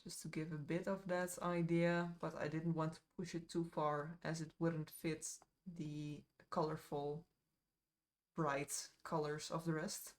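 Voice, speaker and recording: A woman's voice: sits low, level tone, measured pace. A woman with a Dutch accent chatting casually to her live-stream viewers while she paints, rambling a little with fillers and asides. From Netherlands.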